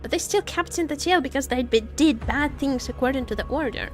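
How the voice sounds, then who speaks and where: A woman's voice: high voice, a little fast. A woman streaming a story game with casual, playful, teasing live commentary over game music.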